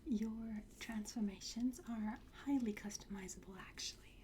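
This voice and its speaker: A woman whispering softly and breathily in an ASMR roleplay, playing a courteous, instructive fitting attendant. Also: a little high, normal speed, slight background noise. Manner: soft, polite, instructive. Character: courteous attendant at a time travel suit fitting.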